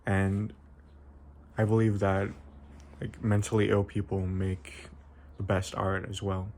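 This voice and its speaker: Low-pitched, flat-toned young man. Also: slow. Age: young adult. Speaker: video essayist. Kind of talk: informal explanatory video essay.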